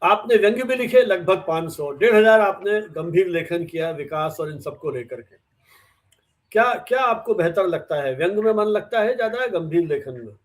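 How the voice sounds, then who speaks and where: A man hosting an interview, asking questions conversationally and deliberately in short segments. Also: high-pitched, expressive. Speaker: interviewer, host.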